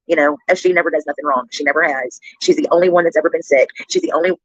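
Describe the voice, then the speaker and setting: A man rants casually at a rapid clip, swearing and sounding sarcastic and aggrieved. His voice sits a little high and has been altered in playback speed. The sound is dull and dry. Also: no echo. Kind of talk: casual rant. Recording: dull, lo-fi replayed stream audio.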